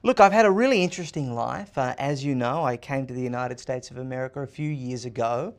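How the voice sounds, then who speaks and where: A man's voice: mid-range voice, fast talker. A confident man in his thirties with an Australian accent, a guest answering interview questions in emphatic, list-like phrases. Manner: emphatic, confident, rhetorical lists. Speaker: podcast guest.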